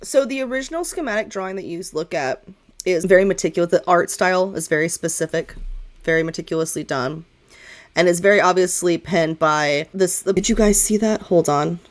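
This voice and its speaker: A woman's voice: lowish voice, brisk. A woman game master improvising narration for a tabletop game, talking informally and often doubling back to correct herself. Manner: informal, rambling, self-correcting.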